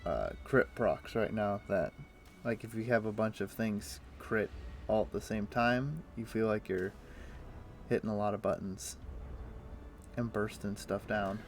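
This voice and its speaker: A man's voice: on the low side, unhurried. A man streaming a video game, chatting casually and thinking aloud with lots of fillers. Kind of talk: casual gameplay chat. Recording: faint music in the background. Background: soft game music.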